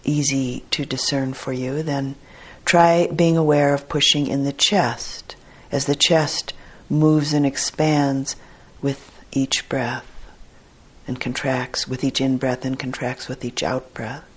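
A woman teacher guiding a meditation in a measured, deliberate way with long pauses and a husky, soft-edged voice. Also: a bass voice. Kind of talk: guided meditation instruction.

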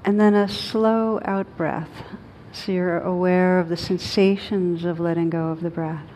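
A woman leading a guided meditation as a teacher, speaking gently and soothingly, unhurried, with long pauses. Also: on the low side, steady tone, slight background noise, rough recording.

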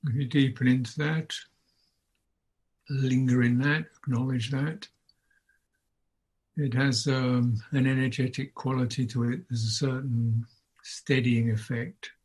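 A man leading an unscripted guided meditation as a teacher, speaking in a contemplative way with long pauses between short phrases. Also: medium pitch, steady tone, darkish tone, husky. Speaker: Buddhist monk, meditation teacher.

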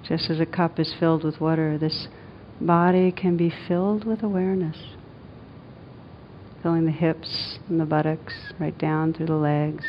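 A woman with a fairly low voice leads a guided meditation, speaking gently and soothingly. She leaves long, unhurried pauses between phrases. The recording is somewhat dull, with a faint rumbling noise behind her. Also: fairly even intonation. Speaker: meditation teacher.

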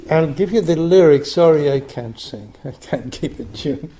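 A man's voice: on the high side, moderate pace, warm tone. An older man from Argentina, giving a reflective meditation talk with frequent restarts. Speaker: meditation group teacher. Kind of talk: dharma talk.

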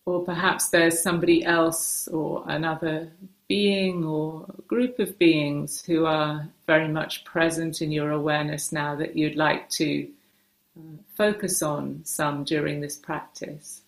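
A woman meditation teacher giving gentle guided instruction in short, unhurried phrases with hesitant pauses, recorded in a roomy, echoing space. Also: sits low, little pitch movement, vocal fry.

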